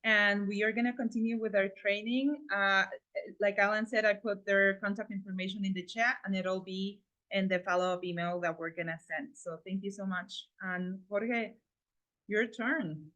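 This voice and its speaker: A woman's voice: medium pitch, fairly even intonation, normal speed, fairly bright tone, highs cut off. Woman moderating an online training. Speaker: event organizer and moderator. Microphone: video call.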